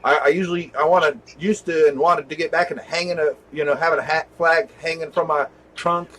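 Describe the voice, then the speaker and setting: A man of about forty with a fairly high voice talks casually to viewers. He rambles along as host, doubling back and repeating phrases as he goes. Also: steady tone, brisk, a bit bright, low-quality recording. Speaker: video host.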